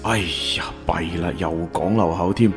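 A man's voice: low-pitched, moderate pace, creaky. Man acting a flustered young agent, music behind. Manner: hesitant, stammering, covering slips awkwardly. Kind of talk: romantic radio drama dialogue.

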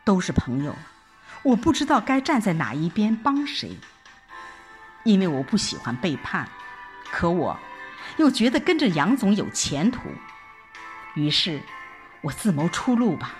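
A woman reading a scripted first-person audio drama narration in an expressive, reflective storytelling manner. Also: mid-range voice, measured pace, pauses often. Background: background music.

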